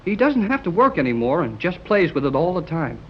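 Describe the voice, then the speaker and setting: An actor playing an excited young radio enthusiast in a 1930s radio serial, full of gee-whiz slang, from an old recording. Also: male voice, on the high side, a little fast, lo-fi sound, a little hiss or hum. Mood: eager. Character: eager young male radio enthusiast, wide-eyed.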